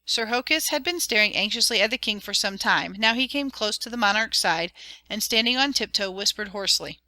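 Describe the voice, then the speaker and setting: A woman narrating an audiobook with a clear, expressive reading. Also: medium pitch, brisk, no echo. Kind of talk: storybook narration.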